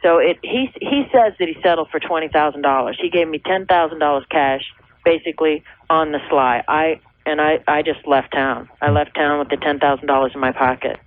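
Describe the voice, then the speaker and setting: A woman's voice: deep voice, a little fast, no room sound. An American woman in her thirties speaks as a caller to a radio advice show. She explains her situation earnestly and a little hesitantly, in conversational phrases. The sound is a thin telephone line on an old radio tape. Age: 30s. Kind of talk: conversational personal account.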